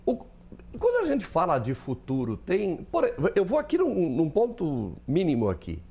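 A middle-aged man with a Brazilian accent, hosting a TV studio interview and asking questions in a lively way. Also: fairly high pitch, moderate pace, gravelly, slightly rough. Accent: Brazilian Portuguese. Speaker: TV host.

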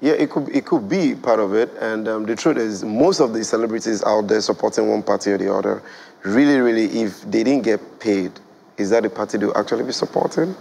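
A Nigerian man in his thirties, a guest on a studio talk show, speaks in a relaxed, hesitant way on a lapel mic. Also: fairly low pitch, brisk, creaky, low-quality recording. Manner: relaxed, hesitant, laughs easily.

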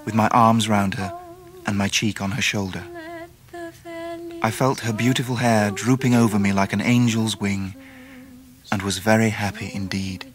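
An actor playing a grown man tenderly recalling his boyhood, narrating a literary radio drama in a reflective, gentle manner. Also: lowish voice, natural voice.

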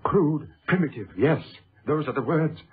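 A man acting in a radio drama as a secretive British archaeologist, hesitant and stammering, diffident but quietly excited about his work. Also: fairly high pitch, normal speed, husky. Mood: quiet wonder. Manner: hesitant, stammering, politely evasive, old-fashioned educated speech. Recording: muffled lo-fi old radio recording.

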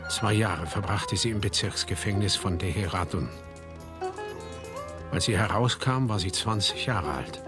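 A man's voice: low voice, a little slow, music in the background. A man narrating a literary radio drama from a script.

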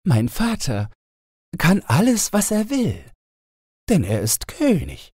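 A man's voice: sits high, wildly swooping pitch, slow, a little mellow, husky, no echo, studio quality, voice pushed up, full character voice. A man voicing a cheerful young fairy-tale princess with playful, naive, bossy chatter. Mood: amused, chattering.

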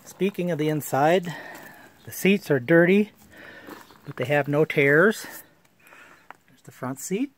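A man's voice: fairly high pitch, slow pace, pauses often. A man filming his old car on a handheld phone, describing it in a plain, matter-of-fact way with many fillers, outdoors and inside the car. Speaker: car owner showing an old car. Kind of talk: casual walkaround video. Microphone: handheld phone camera.

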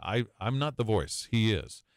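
A man with a very deep voice talks emphatically and with feeling. He is an arena announcer who is a guest on a podcast. The recording is dry and close. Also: very animated, a little slow, gravelly, no room sound. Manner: effusive, emphatic.

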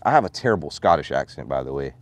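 A man's voice: extremely low pitch, fast, no echo. A man, vlogging casually outdoors on a farm, holding his phone at arm's length. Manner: chatty, animated, coos at his dogs. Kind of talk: casual vlog chat.

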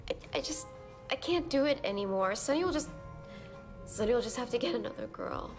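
A woman's voice: on the high side, animated, a little fast. An actress playing an anxious young woman who hesitates and stammers. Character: nervous young woman, a gangster's girlfriend. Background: game score music.

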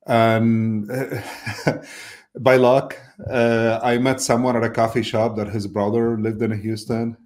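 Mellow-voiced man, thoughtful with many fillers. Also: mid-range voice, little pitch movement, slow pace. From Lebanon. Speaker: mortgage business owner, guest. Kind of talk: casual interview over video call. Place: indoor office. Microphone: video call webcam mic.

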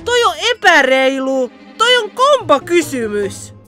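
Cartoon ninja boy, played by a woman: piercingly high, hot-headed, excitable, swooping delivery. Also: faint music behind, heavily acted. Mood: indignant, eager. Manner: excitable, exclaiming, stammering when startled. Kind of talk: fan parody anime dub.

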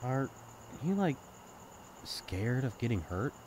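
A young man played by a male voice actor chats candidly as a close friend in an intimate audio roleplay. His mid-pitched voice moves up and down freely, casual and teasing yet caring. Faint night insects chirp behind him. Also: sing-song, takes it slowly, noisy background. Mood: sincere, thoughtful. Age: young adult. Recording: night ambience with chirping crickets. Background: crickets and insects, outdoor ambience.

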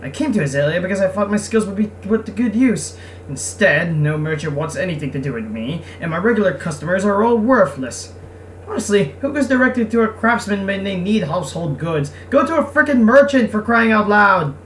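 A man's voice: high-pitched, lively intonation, fairly quick, a bit bright, roomy sound, distant mic, a little hiss or hum. A man voicing a struggling, sarcastic young male craftsman in a visual novel game. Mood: weary grumbling. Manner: weary, sarcastic grumbling. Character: grumpy, struggling young male craftsman, laid-back at heart.

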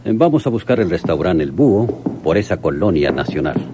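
An actor in a radionovela playing a methodical, steady police lieutenant with a deliberate, authoritative manner. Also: male voice, low-pitched, very noisy. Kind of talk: old radionovela acting.

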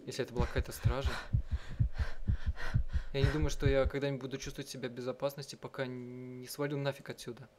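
A man doing let's-play narration, reading and translating text aloud in a halting, self-correcting way. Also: medium pitch, little pitch movement, background noise. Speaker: game streamer and live translator. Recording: game music under the voice. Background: game music.

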